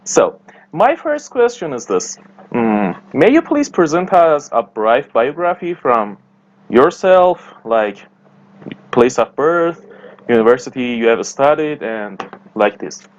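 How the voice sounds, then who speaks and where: A man's voice: mid-range voice, very animated, slow pace, highs cut off. A man interviewing a guest, with hesitant, formal phrasing and frequent short backchannel replies. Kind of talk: interview questions.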